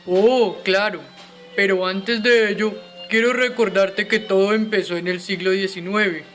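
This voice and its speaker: A man's voice: high voice, steady tone, unhurried, fairly bright tone, faint music behind. A man playing a courteous inventor who gives polite, scripted explanations in an educational radio drama.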